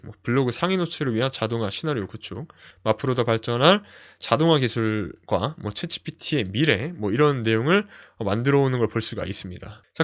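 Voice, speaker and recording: A young man hosting a screen-share tech tutorial, explaining step by step with frequent fillers. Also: on the high side. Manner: explanatory, lively, many fillers "어" and "뭐".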